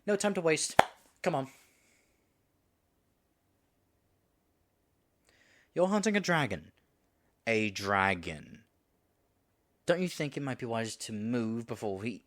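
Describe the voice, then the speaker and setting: A man's voice: on the low side, lively intonation, unhurried, pauses often, fairly bright tone. A man acting in an audio roleplay as a nervous male dragon disguised as a human guide, stammering and muttering asides to himself. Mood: brisk, feigned confidence.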